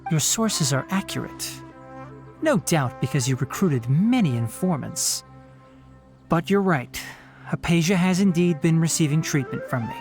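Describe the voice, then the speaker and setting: An actor playing a young, earnest and principled scholar in a video game, speaking in a measured, formal, guarded way. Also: male voice, a little high, lively intonation, darkish tone, faint music behind.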